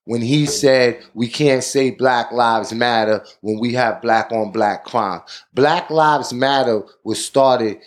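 A man hosting a podcast, giving deliberate commentary in a preaching tone. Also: medium pitch. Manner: deliberate, preaching tone, frequent "you know". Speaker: podcast host.

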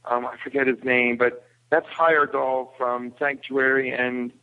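Guy on a thin phone-sounding line, bit of fry, casual, hunts for his words. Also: mid-range voice, flat delivery, measured pace, phone-quality sound. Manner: hesitant, searching for words. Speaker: podcast guest. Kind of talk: casual chat, film review.

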